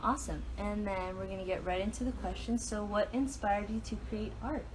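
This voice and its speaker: Upbeat woman hosting a live artist talk, mid pitch, noisy background. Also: fairly even intonation, a little fast. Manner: bubbly, upbeat greetings. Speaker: host of live artist talks. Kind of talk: casual live-stream interview. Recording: live stream with clear background noise.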